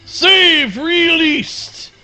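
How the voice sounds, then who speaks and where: A man's voice: very high-pitched, animated, very slow, sharp tone, faint music behind, heavily acted. A man playing a pompous, melodramatic, devoted hound dog in a comic radio play, declaiming in florid theatrical style. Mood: grandly grateful.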